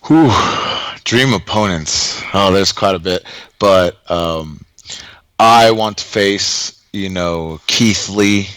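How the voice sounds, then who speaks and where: Wrestler guest, man, lowish voice, slow hesitant answers full of fillers, dry close recording. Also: a bit bright, smooth, no echo. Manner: halting, many fillers and restarts. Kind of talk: interview answers.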